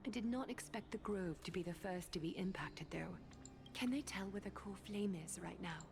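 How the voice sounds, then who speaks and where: Woman as a composed, creaky-voiced noble leader. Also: medium pitch, noisy background, natural voice. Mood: grave. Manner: measured, formal, breathy at times. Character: composed, dignified female leader, gently commanding. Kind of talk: anime-style game voice acting.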